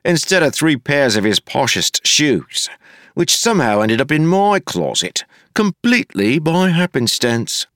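Wayne, a man doing a grumbling rough constable. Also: a little high, big pitch swings, measured pace, a bit bright, dry recording, studio quality, voice pushed up. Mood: cheeky. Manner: grumbling, folksy rough speech. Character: grumbling young male constable, crude and cheeky.

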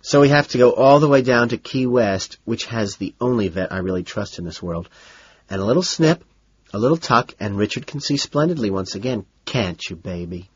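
A man's voice: medium pitch, lively intonation, moderate pace, slightly rough, no room sound, lo-fi sound. A man voicing a pudgy, effete dog owner in his late fifties, flirtatious and fussy, with a lisp. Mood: gushing, fussy. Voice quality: lisping. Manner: fussy, coy, gushing.

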